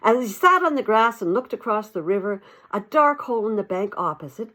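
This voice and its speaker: A woman's voice: medium pitch, sing-song, brisk, sharp tone. A woman narrating a classic storybook expressively, as an audiobook reader.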